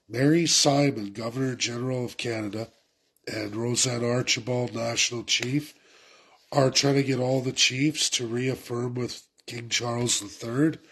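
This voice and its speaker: A man's voice: medium pitch, gravelly, a bit bright, slightly rough. A man with a head cold, sounding congested, giving an urgent, repetitive political rant to his viewers. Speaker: political commentator. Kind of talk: impassioned political rant to camera.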